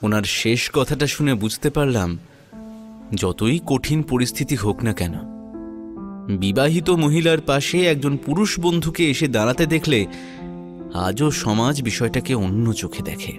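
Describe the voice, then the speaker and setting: A man narrating a scripted first-person audio story in a measured, dramatic storytelling manner. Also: medium pitch, lively intonation, music in the background.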